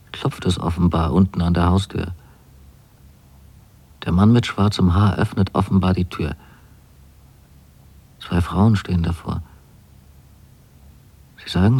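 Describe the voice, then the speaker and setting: A naive, dreamy son in a literary radio play. His voice is low and mellow, slightly rough, and he muses politely in measured phrases. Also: heavily acted. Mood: detached. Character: dreamy, naive young son writing letters to his mother. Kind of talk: literary radio drama monologue.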